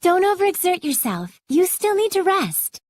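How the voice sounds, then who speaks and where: An actress playing a gentle, caring female spirit companion, polite and expressive, in a fantasy game scene. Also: very high voice, normal speed. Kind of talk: dubbed fantasy game cutscene acting.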